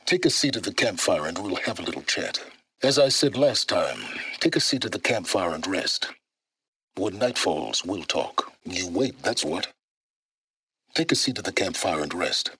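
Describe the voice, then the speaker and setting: A man's voice: sits low, animated, moderate pace, sharp tone, breathy edge, no room sound, exaggerated voice. An actor playing a secretive, learned middle-aged man in a video game, imperious and condescending, with formal, precise diction. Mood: curt, instructing. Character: secretive, learned older male wanderer, imperious and impatient. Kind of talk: video game character dialogue.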